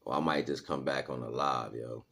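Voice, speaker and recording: Low-voiced man rambling casually. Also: fairly even intonation, moderate pace, creaky, a bit bright. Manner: conversational, frequent "you know what I'm saying" tags. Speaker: livestream host. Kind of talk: casual rambling spiritual talk to live chat.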